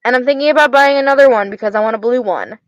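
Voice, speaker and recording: A young woman with an Arizona accent chats loosely with her viewers, her words slurring together. She stutters and circles back over her own phrases. Her voice sits a little high and sounds dry and close. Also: very fast, dry recording, lo-fi sound. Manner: stutters, slurs words, repeats herself. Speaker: livestreamer chatting with viewers. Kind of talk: casual chat.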